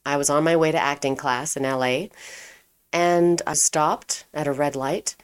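A woman's voice: deep voice, fairly even intonation, a little slow, clean tone. A young adult woman from Europe, telling her life story in a chatty, casual way with frequent fillers.

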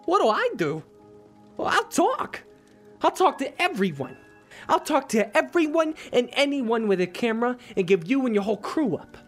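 A man playing a troubled, stubborn police detective in an audio drama, halting and repeating himself between sighs. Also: very high-pitched, very animated, faint music behind, heavily acted. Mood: threatening.

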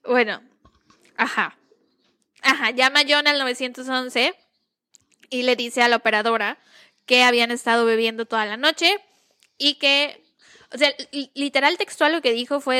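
A young Mexican woman recounting a true-crime case in a relaxed, slangy podcast chat. Her voice sits a little high and sounds crisp and bright. She laughs easily between sentences. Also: normal speed. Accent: Mexican Spanish. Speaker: podcast co-host. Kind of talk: casual true-crime storytelling.

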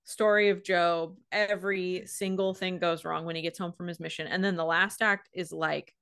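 A woman's voice: fairly low pitch, steady tone, moderate pace, fairly bright tone, clean tone. An American woman co-hosting a podcast, chatting casually in an informal, emphatic way. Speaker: podcast co-host. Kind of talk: casual chat.

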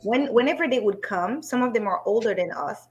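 A woman's voice: fairly high pitch, expressive, brisk, dull audio, faint music behind. A middle-aged woman from Haiti, a guest on a podcast who talks about herself in a hesitant way, with many ums and frequent laughs. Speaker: podcast guest, business coach. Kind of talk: casual podcast interview.

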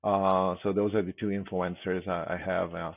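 A man in his fifties, a guest on an interview podcast, answering in a halting way with many pauses and restarts, heard over a tinny remote line. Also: low voice, little pitch movement, gravelly, no echo. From Philippines. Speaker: guest, security executive.

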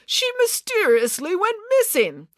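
Woman doing a blustering, outraged man, pitched up. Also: sing-song, measured pace, no room sound, studio quality. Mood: defensive. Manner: spluttering, wailing complaints. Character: blustering, indignant middle-aged father, easily outraged.

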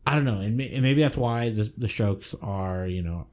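A man's voice: on the low side, normal speed, a little mellow. A man in his twenties co-hosting a podcast in casual chat, joking and laughing often, with loose swearing. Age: 20s. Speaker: podcast co-host.

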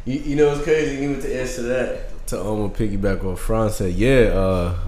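Young man from Jersey, slightly low voice, animated slang-heavy storytelling, guest on a podcast recorded in an echoey room. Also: moderate pace. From New Jersey. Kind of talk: casual podcast chat. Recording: echoey room with faint hiss.

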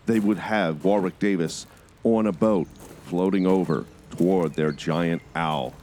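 A man's voice: low-pitched, measured pace, darkish tone, a little hiss or hum. A man hosting a podcast and narrating a scripted first-person story in a dramatic storytelling manner.

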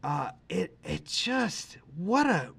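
Effusive man with a slightly higher voice. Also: very animated, slow pace, gravelly, fairly bright tone, breathy edge. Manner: effusive, emphatic, repeats phrases. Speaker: podcast co-host. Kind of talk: casual film discussion.

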